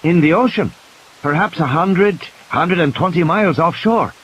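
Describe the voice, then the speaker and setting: A man's voice: a little high, moderate pace, fairly bright tone, highs cut off, voice pushed up, lightly acted. A man voicing a fearful, superstitious Costa Rican village man who speaks with urgent, fierce insistence in an audio play. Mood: guarded. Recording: background hiss.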